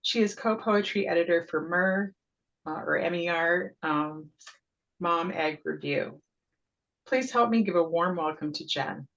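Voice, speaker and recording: Woman host for a poetry reading on a video call, lowish gravelly voice, welcoming with lots of ums. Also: measured pace, lo-fi sound. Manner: warm, welcoming, with frequent ums. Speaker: host and town poet laureate. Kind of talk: event introduction over video call.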